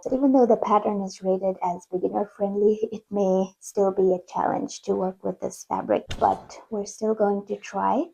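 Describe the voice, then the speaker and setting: A woman in her thirties narrating her sewing vlog in a chatty, self-deprecating way, her voice still croaky from the flu. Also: medium pitch, dark tone, poor audio. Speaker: sewing vlogger.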